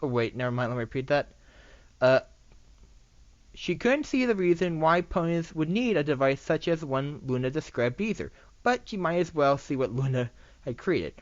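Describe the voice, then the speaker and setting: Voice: mid-range voice, expressive, no room sound. An amateur reader with a lisp reading a story aloud, stumbling over words and correcting as they go. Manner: halting, often misreading and correcting words. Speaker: amateur reader of fan fiction. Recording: dull lo-fi microphone recording.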